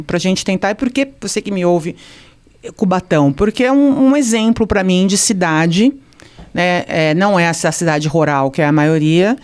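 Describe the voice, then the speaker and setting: Smooth-voiced woman interviewer. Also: on the low side, darkish tone. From Santos, Brazil. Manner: discursive, peppers speech with tag questions. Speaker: podcast host. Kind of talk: conversational interview questions.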